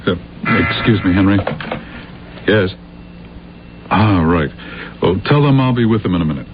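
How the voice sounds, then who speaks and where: An actor playing a busy, skeptical lawyer in a 1970s radio drama, brisk, businesslike and placating. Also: male voice, low-pitched, expressive, over music. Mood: impatient. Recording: dull radio recording, faint noise.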